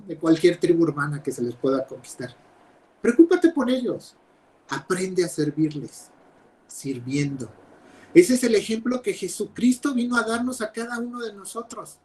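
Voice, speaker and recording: Young guy preaching a sermon over video call, high voice, gets loud then drops way down. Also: low-quality recording. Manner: emphatic, swings between loud stresses and quiet drops. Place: home, speaking over videoconference.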